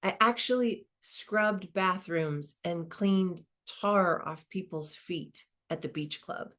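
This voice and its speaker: A woman's voice: lowish voice, takes it slowly, fairly bright tone. An actress playing a modest woman law school dean, giving a reflective, conversational monologue with small hesitations. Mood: candid, reminiscing. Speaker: performer of a dramatized narrative.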